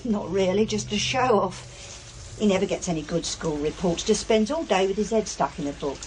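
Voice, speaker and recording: A woman's voice: mid-range voice, normal speed, a little mellow, a little hiss or hum, lightly acted, poor audio. An actress playing a harried, chatty working-class English mother in a naturalistic radio drama, with a blunt, colloquial, nagging way of talking. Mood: scolding, dismissive.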